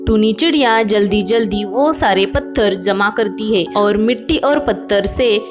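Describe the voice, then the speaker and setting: A woman's voice: medium pitch, a little slow, music in the background. A woman reading scripted narration for a children's cartoon moral story.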